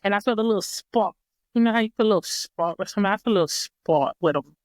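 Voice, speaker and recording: A woman's voice: mid-range voice, fast talker, no echo, clean studio sound. A woman guest on a podcast, telling a personal story in a reflective way and often repeating and rephrasing herself. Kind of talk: casual storytelling chat.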